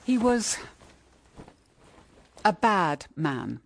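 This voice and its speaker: An actress playing a middle-aged, embittered widowed mother in a radio drama, speaking in an earnest, measured, persuasive way. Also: medium pitch, very animated, creaky, slight background noise, heavily acted. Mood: bitter, confiding. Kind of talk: BBC radio drama acting.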